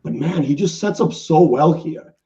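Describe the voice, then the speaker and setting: A man with a New York accent and a raspy voice, chatting casually as a golf guest, laid-back and rambling. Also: a little high, expressive, normal speed, dark tone, distant mic, muffled recording. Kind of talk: casual sports chat.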